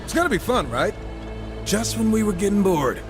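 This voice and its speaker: A man's voice: high voice, sing-song, normal speed, music in the background. An actor playing a cocky, irreverent gunslinger horseman in a video game, with a sarcastic, breezy delivery. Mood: eager, cocky. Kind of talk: video game cutscene acting.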